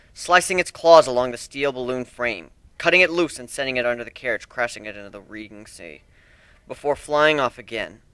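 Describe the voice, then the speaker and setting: A man's voice: mid-range voice, sharp tone, clear, resonant tone, dry recording. A man narrating fan fiction as a dramatic reading of a scripted story.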